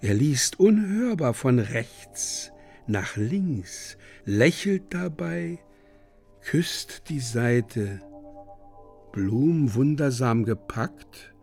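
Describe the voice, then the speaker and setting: An actor playing a solemn narrator who reads dreamlike stage directions in a slow, deliberate, measured way for a literary radio drama. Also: male voice, medium pitch, very animated, gravelly, a little mellow, faint music behind, full character voice. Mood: hushed, solemn.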